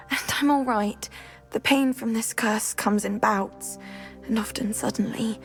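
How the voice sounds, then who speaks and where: An actress playing a tired, gentle young witch suffering from a curse, speaking softly with sighs. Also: a little high, slow, soft background music. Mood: weary. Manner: soft, tired, sighing. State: weary. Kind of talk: video game cutscene acting.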